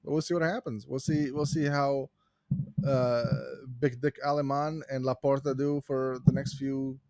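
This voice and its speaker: A man from Morocco hosting a casual sports podcast, talking loudly and swearing, with frequent laughs. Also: medium pitch, level tone, moderate pace, dry recording. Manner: loud, profane, laughs often. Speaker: podcast host.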